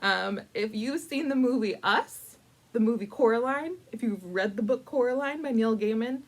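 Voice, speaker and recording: A woman hosting a casual storytime, chatty and informal, with playful, dramatic emphasis and drawn-out words as she tells a picture-book story. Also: fairly high pitch. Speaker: librarian storytime host.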